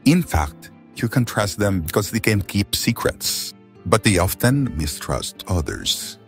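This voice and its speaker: Brief: male actor as low-voiced doctor, clinical and measured, grave Filipino audio drama, music underneath. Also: big pitch swings, full character voice. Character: calm male physician explaining a grave diagnosis.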